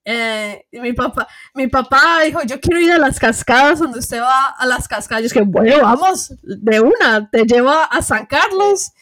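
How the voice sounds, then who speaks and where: A bilingual woman with a high, bright voice hosts a casual podcast conversation. She switches freely between Spanish and English and talks in an animated way. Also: a little fast, few pauses. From United States. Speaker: podcast host. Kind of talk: casual interview chat.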